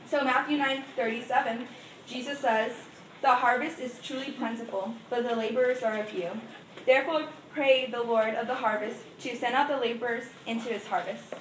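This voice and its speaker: A woman's voice: a little high, a bit bright. A young woman casually addressing a group as its leader, informal and chatty, recorded from a distance in an echoey, noisy room. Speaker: youth group leader opening a Bible meeting.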